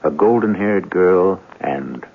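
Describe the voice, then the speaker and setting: Male narrator, gravelly, dramatic scripted delivery, measured, theatrical, tinny vintage radio recording. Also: low voice, lively intonation. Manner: measured, theatrical, weighty pauses. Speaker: host and narrator.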